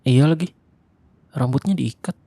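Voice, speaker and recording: Gravelly young man. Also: medium pitch, unhurried, noisy background. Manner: casual slangy speech, tense and hushed at times. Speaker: voice actor. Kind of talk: ASMR roleplay monologue.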